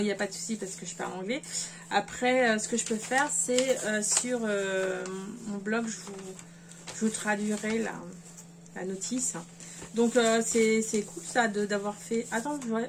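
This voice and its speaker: A woman talks casually at a middle pitch, rambling along and stopping often to restart her sentences with fillers. Clear background noise runs under her home recording. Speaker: sewing vlogger. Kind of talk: casual chat to camera.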